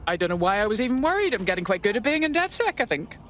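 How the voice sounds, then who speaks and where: An actress playing a nervous, chatty rookie resistance operative in a video game. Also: female voice, mid-range voice, sing-song, racing, a crowd in the background, close to an everyday voice. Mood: relieved, cheeky. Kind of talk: video game cutscene acting. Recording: clear vehicle and ambience noise.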